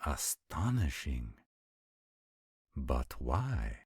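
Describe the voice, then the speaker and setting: A man playing an ancient supernatural warrior in a roleplay audio drama, speaking formally and deliberately with concerned, protective gravity. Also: very deep voice, sing-song, slightly rough, no room sound, clean studio sound, heavily acted. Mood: admiring, earnest. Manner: formal, measured, stilted diction with deliberate pauses. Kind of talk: scripted roleplay audio acting.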